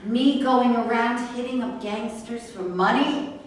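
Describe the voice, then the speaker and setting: A woman's voice: fairly high pitch, unhurried, natural voice. A woman in a staged play reading, playing a defiant, emotional horse trainer in an exasperated, pleading manner. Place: echoey indoor room at a staged reading. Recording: distant, very echoey room with noise.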